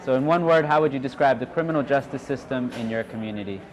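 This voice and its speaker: A man's voice: mid-range voice, fast, low-quality recording. A man facilitating a workshop, informally giving instructions to a group, recorded live in a room. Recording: live room with audience chatter.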